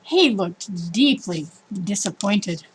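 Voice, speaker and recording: A woman narrating a children's story in an expressive, emphatic storytelling manner. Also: medium pitch, takes it slowly, a little hiss or hum, low-quality recording. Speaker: storybook reader.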